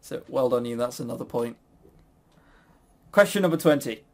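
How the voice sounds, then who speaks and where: A man's voice: medium pitch, animated, moderate pace, darkish tone. A man hosting a quiz in a casual, jokey, teasing way. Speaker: quiz host.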